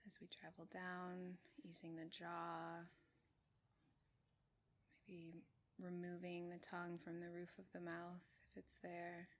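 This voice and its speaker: A woman meditation teacher leading a guided meditation in a gentle, measured way, with long pauses between short phrases. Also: fairly low pitch, completely flat delivery. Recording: clearly noisy with room ambience.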